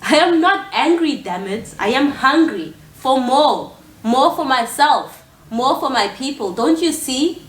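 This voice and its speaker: A woman's voice: fairly high pitch, animated, a little slow, pauses often. An actress playing a proud, angry, impoverished woman who refuses pity, in an intense theatrical monologue. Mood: desperate, angry. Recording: echoey room with faint noise.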